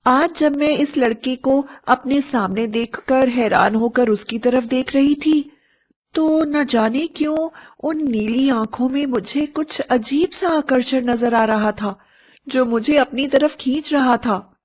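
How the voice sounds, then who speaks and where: Woman playing uneasy young college girl, high-pitched, emphatic, sharp-tongued, earnest, storybook character. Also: slow.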